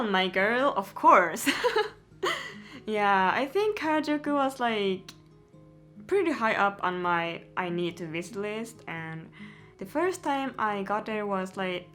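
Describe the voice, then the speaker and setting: Young girl rambling to camera, giggly, lots of likes, soft guitar music under it. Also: medium pitch. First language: Swedish. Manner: chatty, giggly, lots of "like" and "yeah". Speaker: vlogger. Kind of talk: casual Q&A chat to camera.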